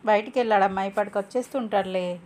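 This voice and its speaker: A woman's voice: medium pitch, quick pace, darkish tone, close to an everyday voice. Woman acting proud, bossy middle-aged mother. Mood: welcoming. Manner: assertive, dismissive to husband. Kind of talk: cartoon moral story voice acting.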